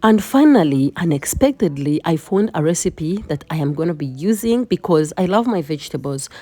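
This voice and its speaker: Casting: female vlogger, low voice, chatty and expressive home cook. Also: a little fast, no echo. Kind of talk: casual vlog narration.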